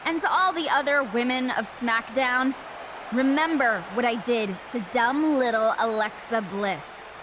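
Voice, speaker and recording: A woman's voice: fairly high pitch, a little slow, background noise, lightly acted. A woman playing an arrogant, condescending villainous wrestling champion in a video game. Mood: menacing, smug. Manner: smug, condescending, boastful. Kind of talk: scripted video game cutscene acting.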